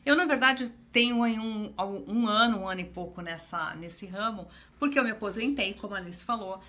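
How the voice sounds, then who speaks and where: A woman's voice: medium pitch, brisk, bright, forceful tone. An older woman, a retired storyteller, chatting as a podcast guest and repeating key phrases for emphasis. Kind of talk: casual interview chat.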